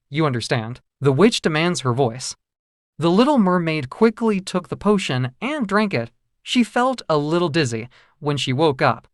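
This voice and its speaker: A storyteller reads a children's fairy tale from a script. The voice moves up and down in a lively, expressive way. The sound is dry, with no room around it. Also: medium pitch, no room sound.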